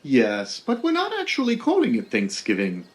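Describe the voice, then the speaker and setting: A man playing a deadpan Pilgrim governor. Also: mid-range voice, moderate pace. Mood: amused, matter-of-fact. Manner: matter-of-fact, darkly deadpan. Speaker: comedy skit actor. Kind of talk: scripted comedy sketch.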